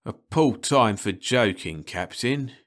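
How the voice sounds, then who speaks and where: A man's voice: mid-range voice, expressive, takes it slowly, fairly bright tone. A man reading aloud, voicing a surly, cold male ship's mechanic who dismisses others with contempt. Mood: contemptuous.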